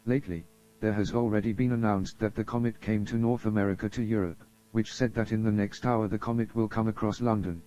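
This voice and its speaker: Low, dark-voiced man, formal emergency announcer. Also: steady tone, fairly quick. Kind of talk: scripted emergency broadcast narration. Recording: dull lo-fi audio.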